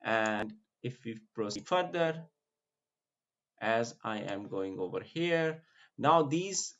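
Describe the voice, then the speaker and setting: A man giving a casual screen-share tour, explaining slowly with many fillers and restarts. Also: fairly low pitch, expressive, a bit bright, highs cut off. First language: not Arabic. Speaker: vlogger giving a virtual tour.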